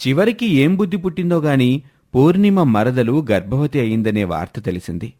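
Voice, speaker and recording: A man's voice: mid-range voice, darkish tone. A man reading scripted narration for a story as the narrator.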